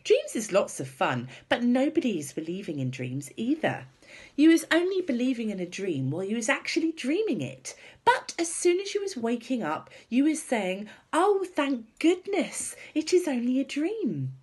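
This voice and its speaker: A woman's voice: fairly high pitch, huge pitch swings, moderate pace, a little mellow, slightly rough, pitched above the performer's own voice, full character voice. A woman voicing a big, friendly but stubborn male giant who talks in muddled grammar and invented words for a storybook. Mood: doubting.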